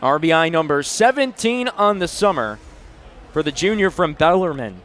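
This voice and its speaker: Higher-voiced young man calling live sports. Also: expressive, moderate pace, fairly bright tone. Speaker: play-by-play sportscaster. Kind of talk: live sports play-by-play commentary. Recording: noisy live venue sound.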